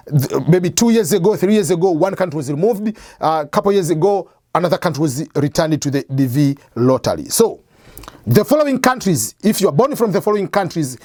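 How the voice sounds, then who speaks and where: A man's voice: on the high side, darkish tone, no echo, studio quality. A man hosting an advice show, explaining step by step in a conversational way, asking and answering his own questions. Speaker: host of an immigration advice channel. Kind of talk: explanatory talk to camera.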